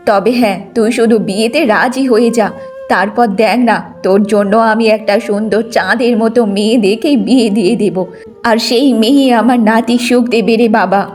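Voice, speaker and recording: A woman's voice: a little high, a little fast, darkish tone, soft background music, close to an everyday voice. A woman voicing a bitter, domineering old village mother-in-law in a cartoon moral story. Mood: coaxing, scheming. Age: older.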